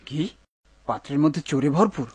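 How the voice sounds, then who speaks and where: A man's voice: a little high, normal speed, darkish tone, husky. A man voicing an earnest young son in a cartoon. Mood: worried. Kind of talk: dubbed cartoon acting.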